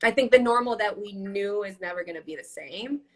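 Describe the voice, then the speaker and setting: A woman's voice: mid-range voice, fairly quick. Chatty woman who grew up speaking Spanish. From Miami, USA. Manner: chatty, expressive, thinks aloud. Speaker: actress, guest. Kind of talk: casual live-stream chat.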